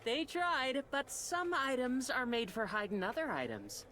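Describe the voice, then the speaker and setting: A woman's voice: sits high, expressive, a little slow, fairly bright tone, a little hiss or hum. A woman voicing a scrappy young boy inventor in a video game, brash and slangy. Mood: cocky. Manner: brash, slangy, emotional pleading. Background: game music.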